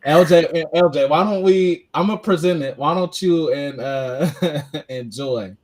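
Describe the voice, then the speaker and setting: A man's voice: a little high, a little slow, darkish tone. A man co-hosting a podcast, talking in a loose, rambling way with many fillers. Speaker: podcast co-host. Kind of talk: casual chat.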